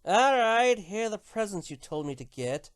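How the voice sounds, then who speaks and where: A woman's voice: medium pitch, very animated, normal speed, no echo, studio quality, natural voice. A woman playing a grumpy, menacing winged unicorn empress in a dramatic cartoon story reading, grumbling, dismissive and sardonic. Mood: annoyed, dismissive.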